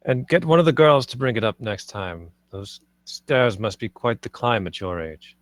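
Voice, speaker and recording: A man's voice: medium pitch, moderate pace, no echo, close to an everyday voice. A man playing a guarded, controlling master of a household in a screenplay table read. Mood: curt, dismissive.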